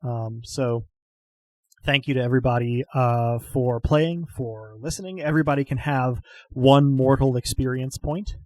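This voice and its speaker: A man's voice: medium pitch, a little mellow, rough recording. Chuckling man running a tabletop game. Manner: halting, many fillers and chuckles. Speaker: game master and host. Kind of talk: narrating and wrapping up a tabletop game podcast.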